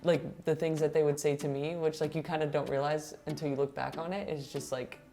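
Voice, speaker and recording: A woman's voice: low-pitched, little pitch movement, brisk, gravelly, mellow, faint music behind. A woman guest in a casual restaurant chat who tells a personal story in a reflective, earnest way.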